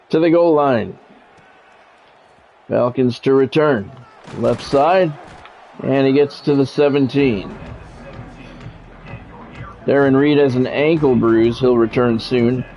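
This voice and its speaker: A man calling live play-by-play of a football video game in measured, short clipped announcer phrases. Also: mid-range voice, a little mellow, a little hiss or hum. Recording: dull lo-fi audio with music behind.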